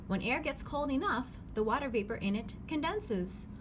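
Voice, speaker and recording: Lively woman reading a children's book aloud. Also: high voice, normal speed. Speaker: read-aloud narrator. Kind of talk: children's picture-book read-aloud, scripted. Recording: clearly noisy background ambience.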